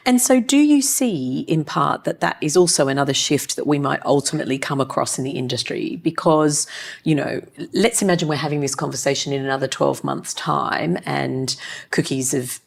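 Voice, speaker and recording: Very low-voiced woman with gravelly fry. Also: normal speed. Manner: attentive, frequent short affirmations. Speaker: interviewer, company CEO. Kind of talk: conversational interview.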